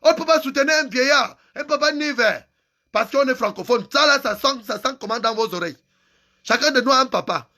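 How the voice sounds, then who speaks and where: A man's voice: very high voice, a little fast, sharp tone. A man giving an angry, impassioned political rant, hammering home repeated phrases. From Cameroon. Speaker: activist vlogger.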